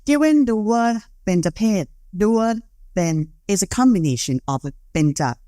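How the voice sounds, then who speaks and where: A Thai-accented teen reads a podcast script with a lively delivery. The pitch swings widely. The recording is dry and close. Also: moderate pace, no echo. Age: late teens. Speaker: co-host.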